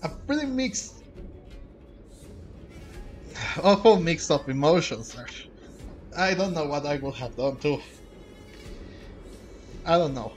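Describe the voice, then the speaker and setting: Male let's play streamer, unhurried and halting, with lively swings in pitch and faint music behind. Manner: halting, repeats words as he searches for phrasing. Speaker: gaming streamer. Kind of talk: casual let's play commentary.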